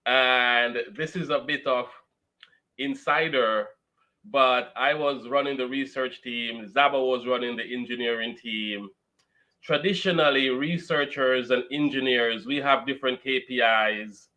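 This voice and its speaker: Jamaican guy, bright crisp-edged voice, telling his background as a podcast guest. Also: medium pitch, unhurried. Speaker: real estate investor, podcast guest. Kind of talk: interview, recounting career background.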